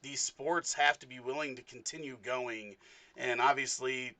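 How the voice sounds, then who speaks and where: Male sports podcast host, bright forceful tone, dry close sound, loose rambling delivery. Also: medium pitch, fairly even intonation, moderate pace, no echo. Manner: rambling, many fillers. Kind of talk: casual interview chat.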